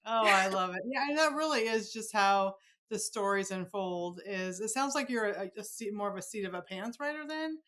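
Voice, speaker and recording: A woman's voice: medium pitch, lively intonation, moderate pace, fairly bright tone. An American woman hosting a casual podcast interview, encouraging and affirming as she asks questions. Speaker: podcast host.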